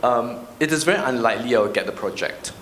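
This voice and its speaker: A man's voice: medium pitch, fairly quick, a bit bright. Singaporean man in a roomy space. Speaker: panel speaker, arts practitioner. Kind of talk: informal panel discussion. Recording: roomy echo, clear background noise.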